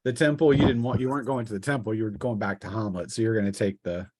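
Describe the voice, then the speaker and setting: A man narrating a tabletop role-playing game as game master, in a halting, hesitant delivery with improvised phrasing. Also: lowish voice, steady tone, a little mellow, no echo.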